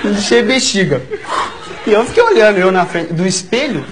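A Brazilian man in his thirties with a stutter, telling a comic anecdote haltingly and repeating words as a talk show guest. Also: high voice, expressive. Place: large TV studio with audience. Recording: lo-fi TV studio tape, loud audience noise. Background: loud audience laughter.

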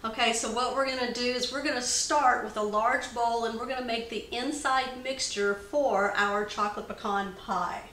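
A woman's voice: on the high side, fairly even intonation, moderate pace, few pauses, a bit bright. A woman hosting a home cooking demonstration in her kitchen, chatty and upbeat, talking to viewers as y'all. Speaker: cooking show host. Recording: echoey kitchen.

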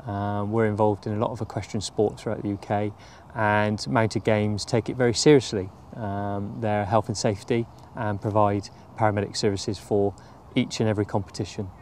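A man's voice: lowish voice, little pitch movement, normal speed, hardly any pauses, a little mellow, a little hiss or hum. A man who is a paramedic, speaking matter-of-factly with some fillers in an interview.

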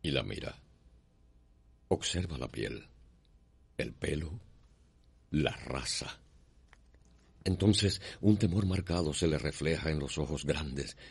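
Very deep, solemn male narrator. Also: animated, creaky, husky, no echo. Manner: solemn, poetic, measured. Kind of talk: scripted radio soap narration. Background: music.

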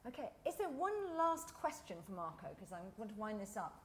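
Higher-voiced woman chairing a Q&A. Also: very animated, slight background noise. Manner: brisk, many short "mm" backchannels. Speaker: event chair. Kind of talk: chairing a Q&A, winding up. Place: conference room with audience.

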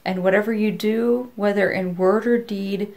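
A woman's voice: mid-range voice, steady tone, normal speed, darkish tone. A middle-aged woman speaking plainly to her congregation as a scripture reader, recorded at home at arm's length. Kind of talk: casual greeting and scripture reading. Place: indoors, room at home by windows. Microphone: phone or laptop at arm's length.

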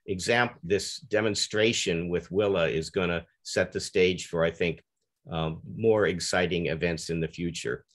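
A man presenting on a video-call panel, halting and full of fillers and restarts. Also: low-pitched. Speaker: zoo geneticist panelist. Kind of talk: webinar presentation over slides.

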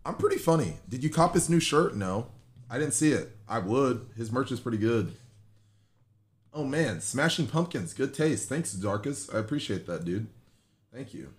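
Young American man, swooping animated pitch. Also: moderate pace. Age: 20s. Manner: joking, teasing, slangy. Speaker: live streamer. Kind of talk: casual chat with viewers and partner. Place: small bedroom, indoors.

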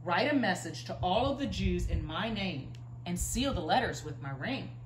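A woman's voice: fairly low pitch, animated, moderate pace, natural voice. A woman playing a kindly, commanding king from a children's storybook, with stately declarations, in an indoor room. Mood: gracious. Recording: roomy echo, faint room noise.

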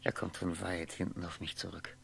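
An actor playing a lonely, bitter middle-aged man in a 1960s radio play monologue, brooding wearily and haltingly, often in a hushed voice. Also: low-pitched, completely flat delivery, full character voice. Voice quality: husky, gravelly.

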